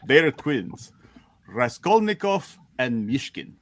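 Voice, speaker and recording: A man's voice: medium pitch, big pitch swings, slow pace. A man voicing a cheerful, hearty Soviet armored superhero with formal, stilted phrasing in an amateur comic book reading. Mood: jovial.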